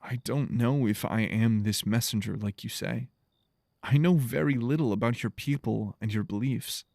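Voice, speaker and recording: A man playing an earnest, gentle explorer stranded on an alien world in an audio drama, speaking in a reflective, measured way. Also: medium pitch, gravelly, no echo, clean studio sound, lightly acted. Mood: reassuring.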